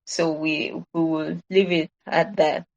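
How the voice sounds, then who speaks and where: A woman's voice: deep voice, level tone, takes it slowly, highs cut off. A woman giving an informal online presentation, advising in an earnest, emphatic way and repeating her points. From Nigeria.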